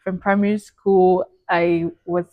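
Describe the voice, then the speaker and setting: A woman's voice: on the low side, flat delivery, takes it slowly, no room sound, narrow, tinny audio. A woman in her twenties from Eastern Nigeria, chatting as an interview guest and laughing often. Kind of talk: casual interview chat.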